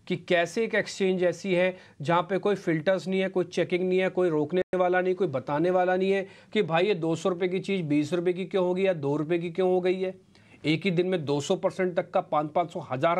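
A middle-aged man speaks as a market analyst in a TV news studio, his voice sitting a little high for a man. He talks emphatically, building points with rhetorical questions and sprinkling English terms into his Hindi. The sound is close and clean, as if from a lapel mic. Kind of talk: explaining to an anchor on live TV.

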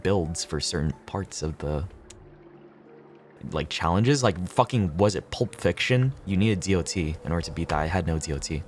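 A man's voice: deep voice, lively intonation, normal speed, a little hiss or hum. A young man chatting casually on a gameplay livestream, slangy, sweary and laid-back. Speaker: streamer.

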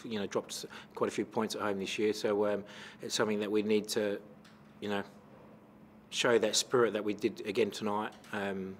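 A middle-aged man with an Australian accent, a football coach answering questions at a post-match press conference into a table microphone, measured and full of fillers. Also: fairly low pitch, a little hiss or hum. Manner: measured, many fillers and restarts. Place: press conference room with sponsor backdrop.

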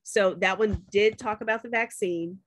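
A woman's voice: mid-range voice, normal speed, no room sound. A woman professor walking students through a spreadsheet on a shared screen, chatty and checking in with them often. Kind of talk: screen-share class demo.

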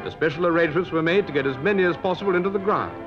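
A man's voice: on the high side, rapid-fire. Man narrating a 1950s newsreel, muffled vintage sound. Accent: British. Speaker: newsreel reporter. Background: music.

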